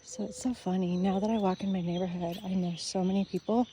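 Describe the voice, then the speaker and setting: An older woman chatting casually on a morning walk through her neighborhood, gushing and often soft and whispery. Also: mid-range voice, fairly even intonation, fast, slight background noise. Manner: chatty, gushing, softly spoken with breathy whispery stretches. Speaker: vlogger. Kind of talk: casual walking vlog. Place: outdoors on a neighborhood street.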